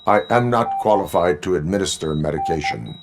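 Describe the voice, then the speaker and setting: A stoic half-machine lawman from a video game. His voice is extremely low with a gravelly fry, and his delivery is deadpan, formal and clipped. There is clear noise behind him. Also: brisk. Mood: calm, flat. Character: stoic cyborg policeman, half man half machine.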